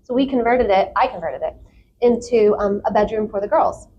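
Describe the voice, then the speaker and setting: A woman's voice: medium pitch, normal speed, dull audio, slight background noise. A young American woman from South Florida, chatting casually and animatedly as a podcast guest. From South Florida, United States. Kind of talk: casual conversational interview answers.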